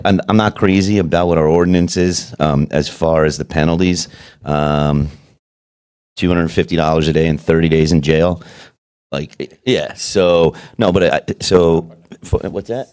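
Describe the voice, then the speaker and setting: A man speaking as a city commissioner in a public workshop, halting with frequent restarts and fillers. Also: low-pitched, darkish tone. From South Florida. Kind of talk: public meeting discussion.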